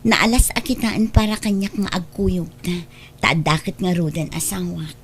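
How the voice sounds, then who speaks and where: A woman playing a married woman who brushes off her former boyfriend, sounding brisk and dismissive in an audio drama. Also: fairly low pitch, a little hiss or hum, natural voice.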